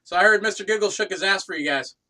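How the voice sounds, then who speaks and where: A man with a slightly high, sharp and forceful voice. He banters casually with friends, teasing and swearing freely in a crude, joking manner. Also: fast talker. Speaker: participant in an online group hangout.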